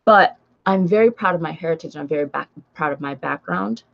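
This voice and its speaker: A woman with an American accent talks casually to livestream viewers in a low voice with plenty of vocal fry. She stresses her points by repeating them. The audio is a little dull and lo-fi. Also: a little fast. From Nigeria until age seven, then raised in the United States. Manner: emphatic, repeats phrases for stress, checks in with "right?". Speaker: book vlogger and writer hosting a livestream. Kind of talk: casual livestream chat, responding to comments.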